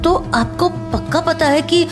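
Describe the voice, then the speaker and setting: A woman's voice: very high voice, a little slow, over music. A woman voicing a frightened, earnest young boy in a horror audio drama, hesitant, stammering and pleading. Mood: scared, puzzled.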